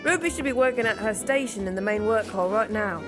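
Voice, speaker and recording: A woman's voice: mid-range voice, a little fast, music in the background, close to an everyday voice. A woman playing a determined young leader of street irregulars, decisive and no-nonsense, in an audio drama. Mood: brisk, commanding. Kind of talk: children's audio drama acting.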